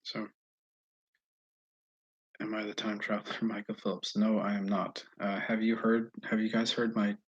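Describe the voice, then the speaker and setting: An American man chatting casually and haltingly on a livestream, often restarting his sentences as he thinks aloud. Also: lowish voice, completely flat delivery, slightly rough, highs cut off. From Northeast United States, mostly Massachusetts. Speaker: livestream host answering viewer comments. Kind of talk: casual rambling livestream chat.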